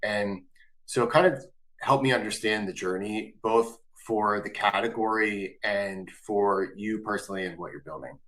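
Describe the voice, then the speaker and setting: A man's voice: lowish voice, measured pace, a bit bright, poor audio. A man hosting a business webinar, asking long, loose questions full of fillers. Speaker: webinar host.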